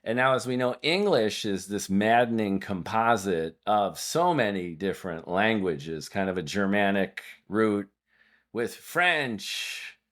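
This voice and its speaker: Low-voiced, long-winded male podcast host. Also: expressive, a little slow, fairly bright tone. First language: Hungarian. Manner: discursive, wordy, prone to digressions. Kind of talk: conversational podcast interview.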